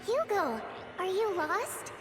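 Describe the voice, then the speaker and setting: An actress in a video game playing a dead little sister, an eerily sweet, childlike and plaintive apparition who can turn reproachful. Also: female voice, extremely high pitch, very animated, slow pace, full character voice. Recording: game audio under loud music.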